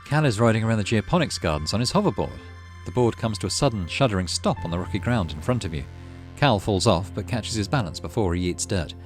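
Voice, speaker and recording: Low-voiced, animated male gamer reading aloud. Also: fast talker, few pauses, soft background music. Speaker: gaming channel host. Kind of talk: let's play narration, reading game text with casual commentary.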